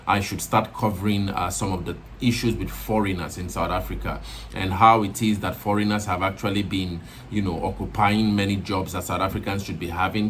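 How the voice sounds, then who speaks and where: Rambling low-voiced commentary host. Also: male voice, slight background noise. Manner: rambling, many fillers and restarts. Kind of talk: video commentary monologue.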